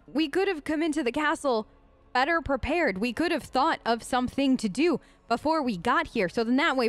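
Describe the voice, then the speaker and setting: A woman's voice: high voice, no room sound. A woman hosting a let's play, chatty and improvising in-character replies as she reacts aloud to the game.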